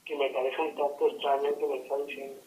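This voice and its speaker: A man's voice: medium pitch, flat delivery, very fast, a crowd in the background. A young man, speaking hesitantly in short protests over a telephone. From southern Spain. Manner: hesitant, brief protesting replies. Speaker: prank call recipient. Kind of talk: casual phone conversation. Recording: roomy phone call on speaker.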